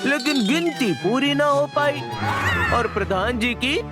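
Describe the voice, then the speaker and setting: A woman's voice: high voice, unhurried. A woman playing a playful, wise male guide who teaches children in a theatrical, encouraging way in an educational cartoon. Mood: storytelling. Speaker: storytelling teacher. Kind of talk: animated educational cartoon narration. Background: background music.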